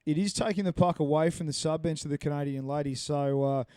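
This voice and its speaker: Upbeat male commentator, smooth and dry. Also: fairly high pitch, quick pace, warm tone, dry recording. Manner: upbeat, jokey, filler-heavy analysis. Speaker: sports commentator. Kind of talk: live play-by-play commentary.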